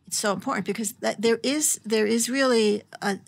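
A woman's voice: on the high side, normal speed, gravelly. A middle-aged woman hosting a podcast, thoughtful and often restarting her sentences, in a studio. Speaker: podcast host. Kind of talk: interview conversation. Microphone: studio mic, headphones.

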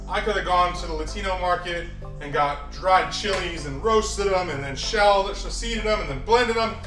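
A man in his thirties talking through a home cooking vlog in a relaxed, jokey way, filmed in a large kitchen by a camera across the room. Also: high voice, recorded from a distance. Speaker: cooking vlogger. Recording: roomy kitchen sound from the camera, music behind. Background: background music.

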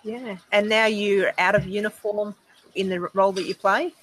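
A woman's voice: mid-range voice, fairly even intonation, moderate pace, a bit bright, rough recording. A middle-aged Australian woman hosting a conversational interview over a video call from outdoors on an earphone mic. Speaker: interviewer, host. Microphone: wired earphone headset. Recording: clear background noise, outdoor ambience.

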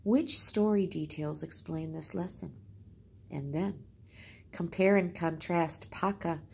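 A woman's voice: fairly low pitch, slow, creaky, a little mellow, slight background noise. A woman teacher reading aloud and explaining in simple phrases, as in a storytime lesson.

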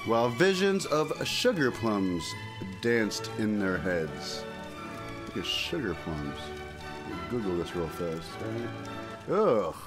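A man giving a loose, comedic storybook reading, bumbling and easily sidetracked, with theatrical expression and muttered asides. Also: medium pitch. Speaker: comic reader of a Christmas poem.

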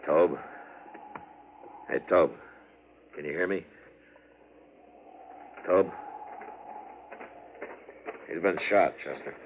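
An American actor playing a steady, laconic Old West marshal in 1950s radio western style. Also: male voice, sits low, drawn-out words, long pauses, slight background noise, exaggerated voice. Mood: firm. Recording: tinny 1950s radio recording.

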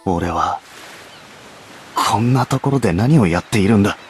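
An actor voicing a proud, despairing young fallen knight in a Japanese game, grave, stern and dramatic. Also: male voice, fairly low pitch, expressive, moderate pace, slight background noise, lightly acted. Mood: bitter despair. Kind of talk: Japanese anime game voice acting.

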